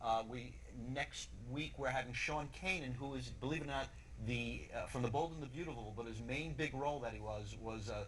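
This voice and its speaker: A man's voice: medium pitch, brisk, hardly any pauses, a bit bright, slight background noise, low-quality recording. A middle-aged man, a comedian and radio co-host, plugging his show with wry one-liners as a TV guest. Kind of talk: TV guest interview.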